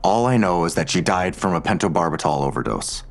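Weary male investigator: low-pitched, curt, irritable, naturalistic audio drama dialogue. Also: animated, a little fast. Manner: curt, gruff.

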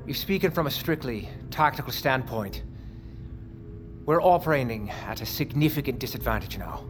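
A man's voice: medium pitch, animated, moderate pace, close to an everyday voice. An actor playing a tough, clipped male tactical crewman in an audio drama, blunt and insistent on order. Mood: tense, dutiful. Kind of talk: audio fiction drama acting. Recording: dramatic music behind the voice.

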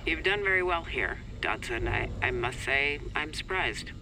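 A woman acting in an audio drama as a senior boss, measured, probing and confiding. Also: low voice, lively intonation, noisy background. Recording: loud music underneath. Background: loud music.

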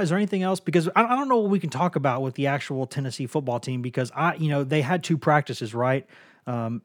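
A man with a hoarse, worn voice hosts a casual sports podcast. He rambles and often restarts his sentences with repeated words. His voice is recorded close and dry, at a middle pitch. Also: dry recording. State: hoarse from a lingering cough.

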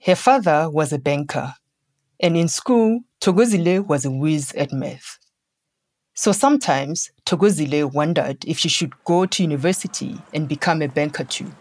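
A woman narrating a scripted children's story in a measured, deliberate way, pausing between phrases. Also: sits low, darkish tone. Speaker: podcast narrator. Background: music.

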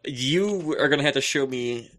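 A man's voice: medium pitch, moderate pace, fairly bright tone. A man co-hosting a podcast, bantering casually with jokey, playful exaggeration. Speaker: podcast co-host.

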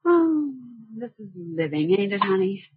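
A woman's voice: mid-range voice, very animated, unhurried, husky, full character voice. A woman playing a brassy, sassy American gold digger in a 1940s radio crime drama, slangy and sarcastic. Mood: relaxed, gloating. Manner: slangy, sarcastic, says ain't. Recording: muffled, dull vintage 1940s radio recording.

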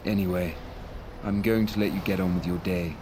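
A man's voice: low voice, steady tone, a little fast, background noise, natural voice. A man playing an awkward, apologetic, self-deprecating ex-boyfriend in a naturalistic audio drama, dejected and hesitant.

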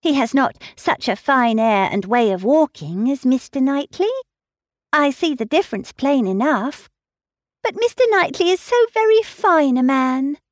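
A woman's voice: high-pitched, animated, measured pace, no echo, studio quality, voice pushed up. A woman voicing an eager, fluttery young woman who gushes breathlessly, in a storybook reading. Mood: admiring. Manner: breathless and gushing.

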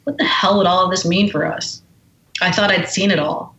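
A woman's voice: on the low side, steady tone, moderate pace, fairly bright tone, reverberant, lo-fi sound. A woman guest who is an author, speaking in a forthright, indignant way and reading from her memoir. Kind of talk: reading aloud from her memoir, then conversation.

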